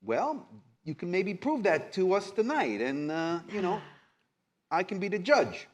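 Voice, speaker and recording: A man's voice: high-pitched, sing-song, slight background noise. A man playing an evasive, hedging go-between who runs errands for a vampire prince in a live roleplaying game. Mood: sly, testing. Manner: hedging, sly, halting with many trailing qualifiers. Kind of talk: improvised tabletop roleplay before a live audience.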